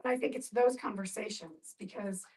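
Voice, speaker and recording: A woman's voice: medium pitch, moderate pace, darkish tone. A woman speaking earnestly as a participant in a group discussion. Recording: distant mic in a room.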